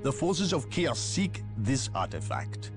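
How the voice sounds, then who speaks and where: An actor playing a cold, secretive male inquisitor who speaks in a measured, coldly lecturing way, in a video game cutscene. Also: medium pitch, huge pitch swings, over music. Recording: voice over orchestral game score.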